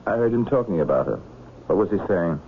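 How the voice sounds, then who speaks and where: An actor playing a probing police inspector in a radio drama, terse and matter-of-fact, courteous but persistent. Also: male voice, low voice, animated, quick pace. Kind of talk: 1970s radio drama acting. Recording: muffled lo-fi old radio broadcast, hiss.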